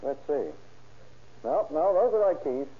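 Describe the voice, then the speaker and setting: A man's voice: a little high, animated, a little slow, breathy edge. An actor in a 1930s radio drama playing a wary informant posing as an easygoing drug middleman. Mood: uneasy. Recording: tinny, noisy old-time radio recording.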